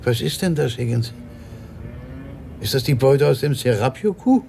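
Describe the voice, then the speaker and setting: An actor playing a genial, slightly fussy senior police chief in a German radio crime drama. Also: male voice, mid-range voice, lively intonation, normal speed, creaky, noisy background. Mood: puzzled, fussy.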